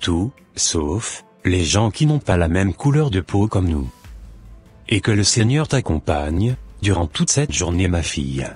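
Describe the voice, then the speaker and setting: A man's voice: deep voice, sing-song, unhurried, a little mellow, husky, soft background music, full character voice. A man playing formal, self-assured men in a cartoon, with a stiff scripted delivery. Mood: stern fatherly. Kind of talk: animated cartoon dialogue.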